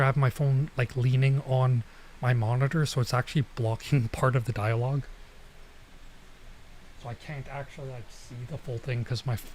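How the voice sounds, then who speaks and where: Mellow, informal male gaming streamer. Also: mid-range voice, a little hiss or hum. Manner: informal, halting, mutters to himself while translating. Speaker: streamer playing a visual novel. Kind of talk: casual let's play commentary, reading dialogue aloud.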